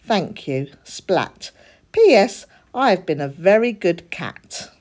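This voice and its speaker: A woman's voice: on the low side, big pitch swings, slow pace, darkish tone, full character voice. A woman voicing an eager, boastful little boy cat in a children's storybook, earnest and emphatic. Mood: earnest, insistent.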